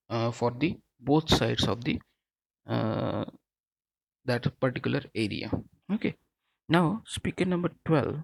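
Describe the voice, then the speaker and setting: A man lecturing in an explanatory, halting way, repeating himself and often checking in with a tagged okay. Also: mid-range voice, creaky. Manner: halting, repetitive, frequent okay as a tag. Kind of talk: explanatory technical lecture over slides.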